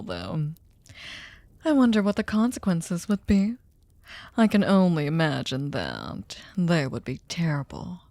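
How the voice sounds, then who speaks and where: A woman playing a sadistic, possessive, centuries-old vampire lord in an intimate ASMR roleplay, speaking theatrically and tauntingly, often dropping into breathy whispers. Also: medium pitch, darkish tone, no room sound. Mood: mocking, threatening.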